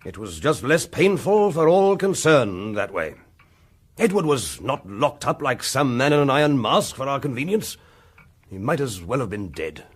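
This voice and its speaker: An actor playing a haughty, impatient Victorian lord with an upper-class English accent, curt and pompous, in period radio drama. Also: male voice, medium pitch, very animated, normal speed, breathy edge. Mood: cold, defensive.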